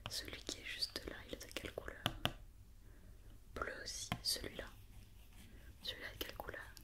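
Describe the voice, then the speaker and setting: A woman speaking in a soft, breathy whisper, playing a patient, methodical doctor in an ASMR check-up roleplay. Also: normal speed. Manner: patient, methodical, repeating instructions and questions. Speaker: ASMR performer playing a doctor examining a robot.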